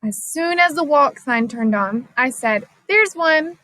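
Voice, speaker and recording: A woman reading a children's science story aloud as scripted narration, expressive and clearly phrased. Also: fairly high pitch, a little slow, no echo, low-quality recording. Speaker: storybook reader.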